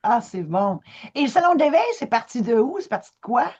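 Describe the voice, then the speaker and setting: A woman interviewer, asking questions and making quick friendly quips. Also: on the high side, big pitch swings, a little mellow, slightly rough, muffled recording.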